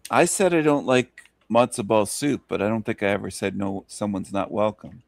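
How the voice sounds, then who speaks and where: A man's voice: lowish voice, moderate pace, vocal fry, dry recording. A man in casual chat on a live stream, talking in a rambling, provocative way.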